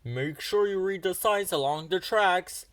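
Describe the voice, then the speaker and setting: A man's voice: sits high, measured pace, fairly bright tone, dry recording, pitched above the performer's own voice. A man voicing a commanding male railway boss who calls out emphatic orders in a children's storybook. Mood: cautioning. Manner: emphatic, calling out.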